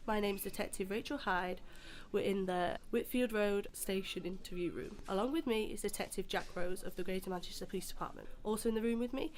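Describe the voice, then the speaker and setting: An actress plays a female police detective against faint background noise. Her delivery is formal, measured and procedural, businesslike in an audio drama. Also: medium pitch, lightly acted.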